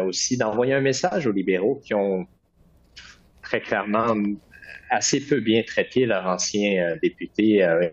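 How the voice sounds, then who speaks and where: A man's voice: sits low, muffled recording. A man from Quebec with a Québécois French accent, answering interview questions as a political candidate in an earnest way, with many hesitations.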